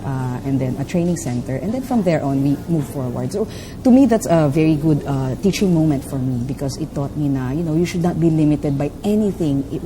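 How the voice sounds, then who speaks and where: Low-voiced Filipino woman coach explaining. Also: noisy background. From Philippines. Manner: fluent, explanatory, frequent fillers and tag "no?". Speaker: life coach and trainer. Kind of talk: interview answers, mixing English and Filipino.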